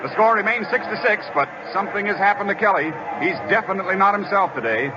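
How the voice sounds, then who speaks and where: A man's voice: high-pitched, lively intonation, quick pace. A man playing a radio baseball announcer in an old radio drama, calling the game in dramatic, punchy phrases. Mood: tense narration. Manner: dramatic, punchy short calls. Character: excited male radio baseball play-by-play announcer. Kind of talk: 1940s-50s radio drama sports commentary. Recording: muffled old radio recording, roaring crowd, noise. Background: loud stadium crowd.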